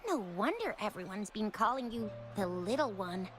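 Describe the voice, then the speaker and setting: A woman playing a lively, inquisitive young female companion in a video game, with expressive delivery. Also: medium pitch, moderate pace, faint music behind, lightly acted. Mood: curious. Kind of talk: video game dialogue acting.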